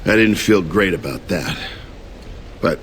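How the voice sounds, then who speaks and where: An actor playing a brash, defiant lieutenant in a video game, with a sardonic, insubordinate manner. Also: male voice, sits low, a little slow, background noise. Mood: grim, wry. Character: brash, defiant young male soldier lieutenant. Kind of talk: video game cutscene acting and narration. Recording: loud music behind voice.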